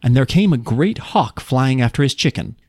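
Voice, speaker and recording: A man's voice: mid-range voice, lively intonation, normal speed, dry recording, studio quality. A man reading a folk tale aloud as a storytelling podcast host.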